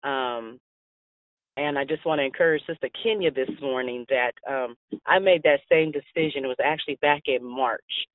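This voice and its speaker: A man's voice: medium pitch, normal speed, dry recording. A man calling into a church prayer line, giving an earnest testimony and encouragement. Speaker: caller. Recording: phone line.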